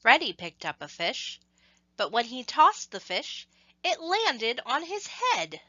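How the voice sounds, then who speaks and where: A woman reading a scripted story to children, with expressive, measured phrasing and emphasis on action words. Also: on the high side, no room sound, lo-fi sound.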